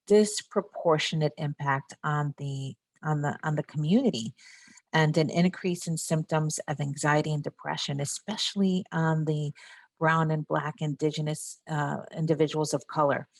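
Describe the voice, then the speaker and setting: Low-pitched woman moderating a panel. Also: fairly even intonation, normal speed, no echo. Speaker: podcast host.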